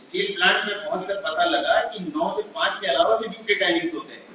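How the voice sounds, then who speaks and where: A man's voice: high-pitched, fast talker, sharp tone, a little hiss or hum. A man on stage reading out a wry, reminiscing reply letter about his working life, in an auditorium. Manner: wry, reminiscing, self-mocking. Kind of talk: stage play monologue. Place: large auditorium. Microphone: unamplified stage, far from the recorder. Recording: echoey hall from far off.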